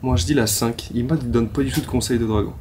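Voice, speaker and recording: A man's voice: medium pitch, fairly even intonation, fast, noisy background. A young football player bantering and joking with his teammates in a casual guessing game, using street slang. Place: club meeting room indoors.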